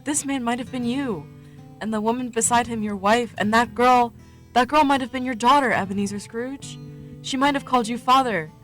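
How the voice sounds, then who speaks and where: A woman playing a solemn, unyielding ghostly spirit in a radio drama, measured, stern and formal. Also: fairly high pitch, a bit bright, soft background music, close to an everyday voice. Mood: solemn, relentless.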